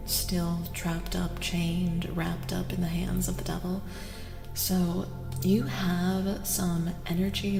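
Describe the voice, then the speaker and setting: A woman speaks softly and slowly, with a creaky edge to her voice that often thins toward a whisper. She addresses live viewers as she reads tarot in an ASMR style. Her voice hangs in a long, echoing reverb with music clearly behind it. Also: on the low side. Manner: soft-spoken, often dropping to a whisper. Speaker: streamer host and tarot reader. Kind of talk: soft-spoken ASMR live tarot reading.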